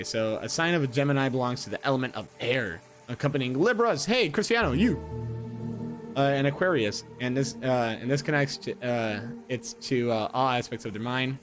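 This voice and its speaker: A man in his twenties reading aloud and chatting casually, rambling with fillers and repeated words, poking fun at himself. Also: medium pitch. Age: 20s. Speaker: gaming streamer. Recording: music playing underneath. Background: music.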